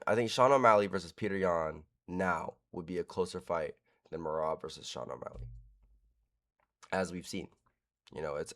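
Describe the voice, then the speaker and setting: A man hosting casual fight predictions, frank and rambling with casual swearing. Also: low-pitched. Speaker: host.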